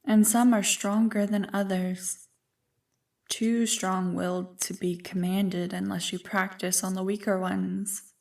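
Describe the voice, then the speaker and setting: Slow, menacing ancient evil creature, played by a woman. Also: medium pitch, flat delivery, vocal fry, a little mellow, far from the mic. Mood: ominous, coaxing. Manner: slow, deliberate, taunting, coolly amused. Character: ancient evil female primordial creature, smug, tempting, menacing. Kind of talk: ASMR roleplay monologue.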